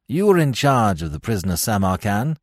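A man's voice: fairly low pitch, big pitch swings, normal speed, darkish tone, dry recording, studio quality. A man voicing a curt, impatient British investigator in an audiobook, questioning with harsh emphasis.

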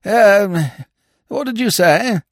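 A man's voice: high-pitched, lively intonation, takes it slowly, no room sound, clean studio sound, voice pushed up. A man voicing a fussy, absent-minded old lord in an audiobook, with a stammering, blurting way of talking. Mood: puzzled.

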